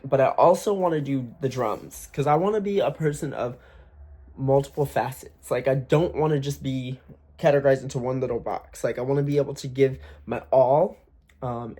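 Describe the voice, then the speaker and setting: A man's voice: medium pitch, darkish tone. Young man, casual vlog chat. Slightly forced raised voice, candid, loose with curse words. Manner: chatty, candid, swears freely, many fillers. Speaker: aspiring singer vlogger. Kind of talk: casual talk to camera.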